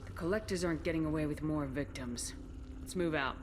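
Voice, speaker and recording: An actress playing a resolute military commander in a video game, calm, direct and authoritative. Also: female voice, low voice, a little fast, noisy background, lightly acted. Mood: commanding.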